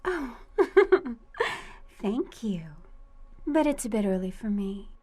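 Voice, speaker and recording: A sly lady cat burglar in a suspense radio play. Her voice sits fairly high and moves freely up and down. She takes her time, teasing and flirting coyly. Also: very animated, slow pace, warm tone, exaggerated voice. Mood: teasing, curious. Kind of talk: radio suspense drama acting.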